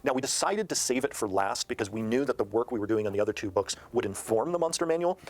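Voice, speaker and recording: Male game designer, medium pitch, brisk talker with halting hesitations, explanatory discussion style. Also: animated. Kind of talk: promotional discussion of a rulebook.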